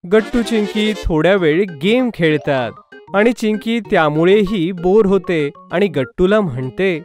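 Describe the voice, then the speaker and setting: A man's voice: sits high, lively intonation, measured pace, a little mellow, soft background music. A man reading scripted narration for a children's cartoon story.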